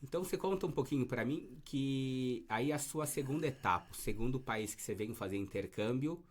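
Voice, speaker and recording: A man's voice: mid-range voice, animated, a little fast. A man from Brazil interviewing a guest, prompting him in a friendly way with open questions. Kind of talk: casual interview.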